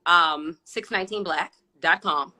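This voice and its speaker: Bright-voiced woman founder as guest, relaxed and joking, keeps saying "listen". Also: lowish voice, unhurried. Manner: laid-back, playful, says "listen" a lot. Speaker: guest, wine brand founder. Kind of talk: casual chat.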